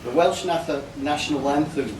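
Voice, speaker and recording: A man reciting a comic rhyming tribute poem to a gathering, line by measured line. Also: a little high, distant mic. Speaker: reader of a birthday tribute poem. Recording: echoey, noisy room recorded from afar.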